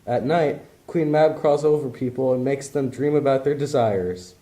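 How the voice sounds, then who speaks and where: A man's voice: mid-range voice, normal speed, mellow, close to an everyday voice, low-quality recording. A man voicing a wry, fanciful young friend in a comic puppet play, talking like a storyteller.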